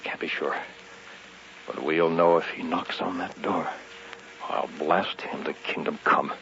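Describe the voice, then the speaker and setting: A man's voice: sits low, steady tone, a little slow, vocal fry, fairly bright tone, full character voice. An actor playing a paranoid, desperate man trapped alone in an old radio horror drama, going between muttering and panicked cries. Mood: fearful muttering. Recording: muffled, hissy vintage radio recording.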